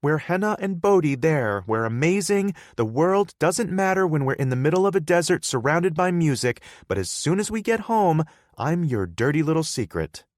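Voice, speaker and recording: A man's voice: on the high side, very animated, moderate pace, dry recording, studio quality, pitched above the performer's own voice. A man voicing a playful, teasing young woman in an audiobook, raising his voice to sound bubbly and pleading. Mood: pouting. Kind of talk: audiobook character voice.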